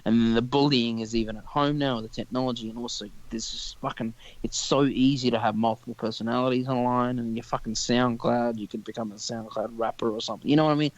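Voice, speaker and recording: A man's voice: medium pitch, steady tone, fast talker, hardly any pauses, no echo, lo-fi sound. A young man hosting a podcast, chatting casually in a rambling way and swearing freely. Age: young adult. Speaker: podcast host. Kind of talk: casual chat.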